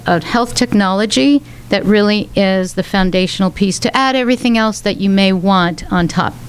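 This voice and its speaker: A woman's voice: medium pitch, normal speed, smooth. A woman of seventy with a young-sounding voice, a radio show guest explaining things earnestly with frequent restarts. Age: 70s. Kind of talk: radio interview. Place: radio studio.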